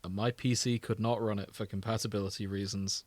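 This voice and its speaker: A man's voice: fairly low pitch, flat delivery, a little fast, dry recording. Dry-sounding male podcast host, casual banter. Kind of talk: casual banter between co-hosts.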